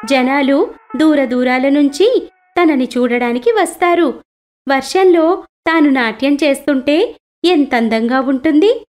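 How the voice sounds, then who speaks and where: Parrot, animated moral story: woman performer, high-pitched, fearful, earnestly complaining bird. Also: a little slow, long pauses, soft background music. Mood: fearful, admiring. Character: pretty, fearful parrot unhappy with its life.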